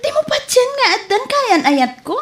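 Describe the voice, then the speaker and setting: Young sweetheart, high-pitched, very expressive melody, coy and blunt, Ilocano accent, radio comedy drama. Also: female voice, moderate pace. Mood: blunt, dismissive. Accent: Ilocano Filipino.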